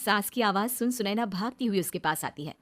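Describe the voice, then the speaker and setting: A woman's voice: medium pitch, lively intonation, fairly quick, fairly bright tone. A woman reading scripted narration for a moral story as its storyteller.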